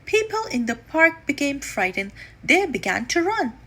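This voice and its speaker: A woman reading a children's story aloud, deliberately and expressively, with drawn-out emphasis. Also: sits high, sing-song, a bit bright.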